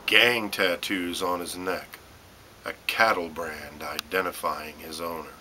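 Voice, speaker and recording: Deep, slow, sharp-edged male poetry reader. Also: steady tone, a little hiss or hum. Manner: deliberate, short phrases with pauses and emphatic stresses. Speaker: poet reading own work. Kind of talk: dramatic spoken-word poetry reading.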